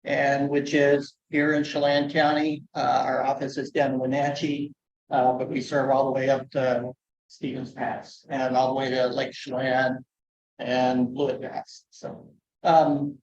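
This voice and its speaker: A soft-spoken man walks a meeting room through his education program, chatting along with asides and plenty of fillers. He sounds muffled and a bit distant, with the room's echo hanging around him. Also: mid-range voice, completely flat delivery, measured pace. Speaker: conservation district educator. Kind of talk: conference presentation.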